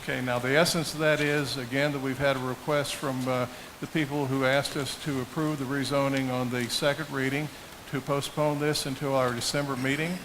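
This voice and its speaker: Behind a clear layer of hiss, a man chairs a town council meeting. His voice sits at a medium pitch. He speaks formally and deliberately, with halting pauses as he works through procedure. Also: flat delivery. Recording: hissy public meeting room microphone.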